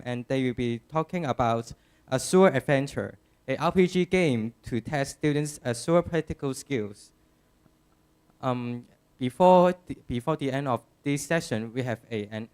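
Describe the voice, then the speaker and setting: A man's voice: medium pitch, normal speed, darkish tone, clear, resonant tone. A man hosting a conference session, politely thanking the speaker and announcing the next talk. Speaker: conference host. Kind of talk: event announcements. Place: conference hall.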